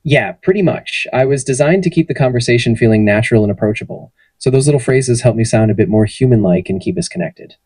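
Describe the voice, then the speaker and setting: Fast, husky synthetic male assistant voice. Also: mid-range voice, dry recording. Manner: friendly, even, polished delivery. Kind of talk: conversational answers to interview questions.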